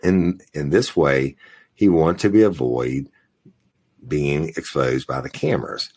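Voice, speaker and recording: A man with a very deep, gravelly and somewhat husky voice co-hosts a crime news podcast. He reads the stories aloud and breaks in with profane jokes. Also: unhurried, darkish tone. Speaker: podcast co-host. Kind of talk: casual crime news chat.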